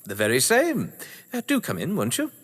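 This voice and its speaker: A man's voice: medium pitch, huge pitch swings, moderate pace, a bit bright. Man playing posh jolly english crime writer, hammy and effusive, voice swoops about, big echoey reverb. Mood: effusive welcome. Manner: genteel, effusive, theatrical. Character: Andrew Wyke, jovial theatrical English crime novelist, lord of the manor. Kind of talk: stage thriller audio drama acting. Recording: long reverberant hall echo.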